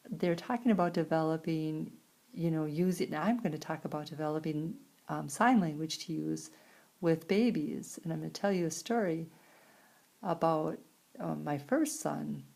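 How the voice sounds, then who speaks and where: A woman with an American accent and a creaky voice, interpreting a signed personal story aloud in halting phrases with pauses. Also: sits low, darkish tone. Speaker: sign language interpreter voicing a signer.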